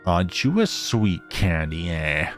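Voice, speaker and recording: A man's voice: sits low, big pitch swings, measured pace, faint music behind, pitched below the performer's own voice. A man voicing a menacing, leering ragged gang leader in a horror visual novel.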